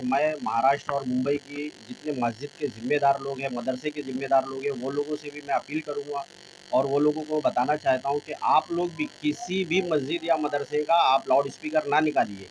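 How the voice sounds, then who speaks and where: A man's voice: fairly high pitch, steady tone, fairly quick, a little hiss or hum, poor audio. A man with a Mumbai Hindi accent giving an interview statement, appealing insistently and repeating his points. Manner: insistent, repetitive. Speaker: local mosque representative being interviewed.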